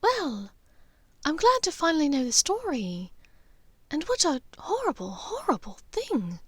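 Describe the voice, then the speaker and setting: A woman voicing a refined, anxious older aristocratic witch mother in an audiobook story, breathy, careful and gently firm. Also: high voice, wildly swooping pitch, a little slow, no echo, pitched above the performer's own voice, heavily acted. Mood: shaken, sorrowful.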